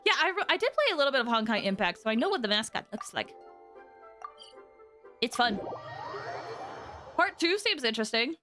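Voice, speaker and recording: A woman's voice: a little high, very animated, fairly quick, pauses often, bright, forceful tone. A woman game streamer giving casual live commentary with exclamatory, gushing reactions. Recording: game music behind the voice.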